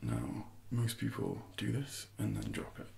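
A man in his thirties speaking softly and breathily in an ASMR roleplay as a personal trainer, often slipping into whispers while instructing. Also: on the low side, steady tone, measured pace, gravelly, slight background noise. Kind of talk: soft-spoken ASMR roleplay.

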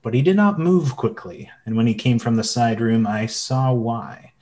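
A man's voice: mid-range voice, expressive, normal speed, a little mellow, natural voice. A man playing a narrator who recalls his boyhood sea adventure in a radio play. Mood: reflective, storytelling. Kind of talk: scripted narration.

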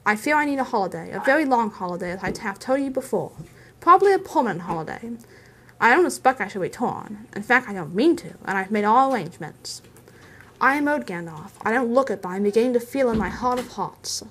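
A woman's voice: a little high, expressive, a little fast, a bit bright, slight background noise. A woman voicing an elderly male hobbit, stubborn, touchy and possessive, in an emphatic, testy storybook reading. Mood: weary, wistful. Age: older.

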